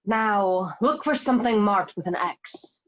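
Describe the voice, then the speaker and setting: A woman's voice: medium pitch, lively intonation, unhurried, highs cut off, natural voice. A woman playing a cool, self-assured cat burglar. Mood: scheming. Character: confident scheming female cat burglar.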